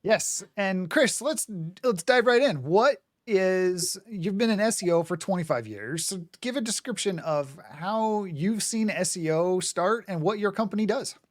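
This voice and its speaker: A man's voice: sits high, expressive, unhurried, hardly any pauses. Upbeat man hosting a podcast. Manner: upbeat, rambling questions with restarts. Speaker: podcast host.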